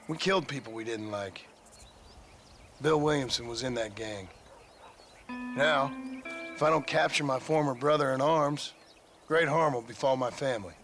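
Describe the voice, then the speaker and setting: A man's voice: mid-range voice, normal speed, long pauses, a bit bright, soft background music, natural voice. A man playing a weary, guarded former outlaw with an American accent, in a dry, courteous, understated manner for a western video game. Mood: grave, confessional. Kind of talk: video game character acting.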